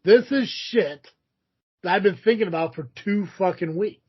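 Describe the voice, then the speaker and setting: Co-host, blunt teasing banter, husky high voice, muffled lo-fi audio. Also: male voice, normal speed. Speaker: podcast co-host. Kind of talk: casual chat.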